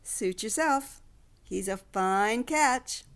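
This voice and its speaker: A woman's voice: medium pitch, lively intonation, drawn-out words. A woman playing a chatty, nosy general store owner in a radio drama. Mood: friendly, nosy.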